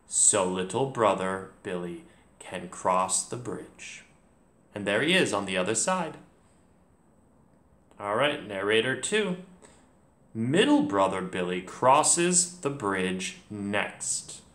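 A man in his thirties leads a children's read-along. His speech is deliberate and clear, with lively intonation, recorded in a roomy space at home. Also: on the low side. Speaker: teacher, host.

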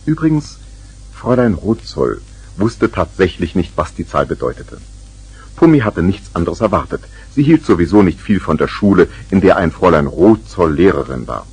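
An actor as the wry, matter-of-fact narrator of a 1970s children's radio play, with a husky voice. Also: male voice, low voice, very animated, normal speed, darkish tone, heavily acted. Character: wry storyteller of a children's tale.

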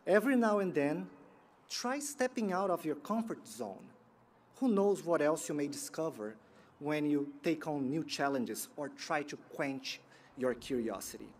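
A man, giving a prepared graduation keynote in a measured, earnest way from a podium outdoors. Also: on the high side, lively intonation, darkish tone, slight background noise. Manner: measured, earnest delivery with pauses. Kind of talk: prepared commencement speech. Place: outdoor sports field ceremony. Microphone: podium microphone.

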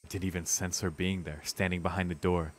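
A man's voice: sits low, flat delivery, a little fast, slight background noise. A man streaming a visual novel, reading the narration dramatically and breaking into casual, sweary reactions.

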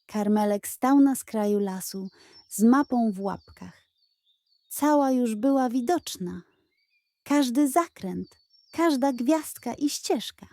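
Slow, mellow woman reading a bedtime story. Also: a little high, expressive, dry recording. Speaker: storyteller of children's bedtime tales. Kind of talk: scripted storybook narration.

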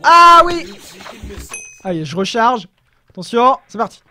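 Excitable high-voiced young man. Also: a little slow, noisy background. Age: young adult. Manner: animated, exclaims often, talks to viewers. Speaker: gaming video creator. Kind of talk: excited gameplay commentary. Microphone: headphones with desk mic.

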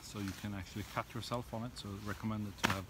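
A middle-aged man casually demonstrating outdoor gear in a halting, unscripted way, recorded on a camera in a tent and snowy woods. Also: fairly low pitch, fairly even intonation. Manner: hesitant, halting step-by-step explaining. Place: snowy forest and inside a tent. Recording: clearly noisy camera audio with clicks.

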